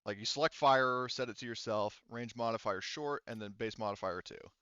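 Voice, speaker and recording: Man, game master, smooth tone, casual halting explanations, very dry slightly muffled close mic. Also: medium pitch, bright, forceful tone, dry recording. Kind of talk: casual tabletop game narration and rules explanation.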